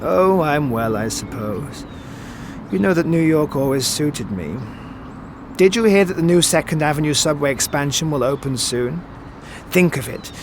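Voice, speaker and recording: A wistful, theatrical immortal gentleman. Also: a little high, animated, noisy background. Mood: evasive, wry. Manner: theatrical, eloquent, halting when pressed. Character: wistful, evasive immortal man, grieving and worldly. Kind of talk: audio drama acting.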